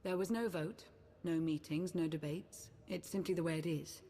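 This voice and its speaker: Confident advisor, husky lowish voice, brisk n teasing, game cutscene. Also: female voice, dark tone. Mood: assured, teasing.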